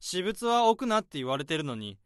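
A weary office worker turned sleuth. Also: male voice, high voice, big pitch swings, clear, resonant tone, no room sound, studio quality. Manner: reasoning, measured and reflective. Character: weary overworked male office worker who plays detective. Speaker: narrator and protagonist office worker. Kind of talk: radio drama narration.

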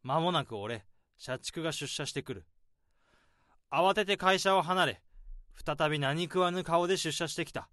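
An actor playing an overworked male office worker laying out a case, in a radio drama, speaking calmly and methodically. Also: on the high side, moderate pace, no room sound, clean studio sound, natural voice. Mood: accusing. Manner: calm, methodical explaining.

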